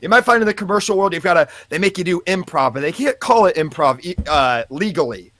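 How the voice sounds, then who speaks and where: Guy doing a rambly ad exec, super animated, dry close sound, gushes and hedges a lot. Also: high-pitched, quick pace, no room sound. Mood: effusive. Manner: rambling, effusive, hedging. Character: gushing, rambling ad-agency executive running an audition. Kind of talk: improvised comedy banter.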